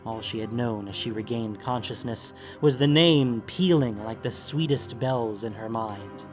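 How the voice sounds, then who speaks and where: A man's voice: mid-range voice, a little slow. A man giving a solemn, theatrical narration of a dramatic fantasy story. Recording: music under the voice. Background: music.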